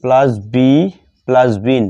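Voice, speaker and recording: A man with a Bengali accent teaching a grammar lesson step by step, halting and repeating phrases in short fragments as he writes. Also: mid-range voice, monotone, mellow, smooth. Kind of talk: step-by-step grammar lesson, spoken while writing. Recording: dull lo-fi sound.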